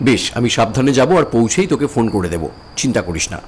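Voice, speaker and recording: A man voicing a calm, lively forest ranger. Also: mid-range voice, fast, a little hiss or hum, close to an everyday voice. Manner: calm, reasoning, lightly teasing. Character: dutiful older brother, newly posted forest ranger. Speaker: narrating his own thoughts while riding. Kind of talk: Bengali cartoon voice acting.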